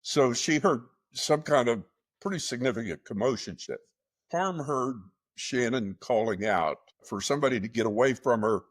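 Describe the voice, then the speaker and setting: A man with a low-pitched voice hosting a true crime podcast, retelling events in a measured, halting way with many fillers. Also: expressive. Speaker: podcast host.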